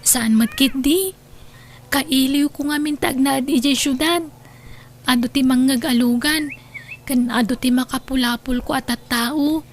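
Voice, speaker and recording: High-voiced, plaintive young daughter. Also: female voice, unhurried, slight background noise. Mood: wistful. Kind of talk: Ilocano audio drama acting.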